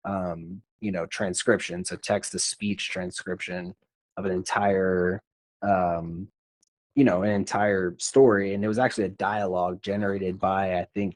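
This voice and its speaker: A man with a fairly low voice chats casually as a podcast host. He sounds conversational and informal, and the recording is dry and close, with little room sound. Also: level tone, normal speed, no room sound. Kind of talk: casual interview chat.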